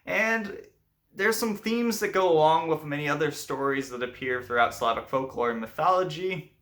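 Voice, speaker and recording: Bright, forceful male storyteller. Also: on the high side, moderate pace. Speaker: storyteller and host. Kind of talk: scripted fairy tale narration, then explanatory commentary.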